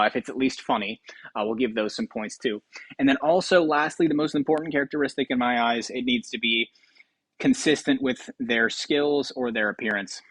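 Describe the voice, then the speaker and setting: A man's voice: mid-range voice, slightly rough, no echo. Gaming host guy, casual but jokey grand announcer bits, mid voice, dry close mic.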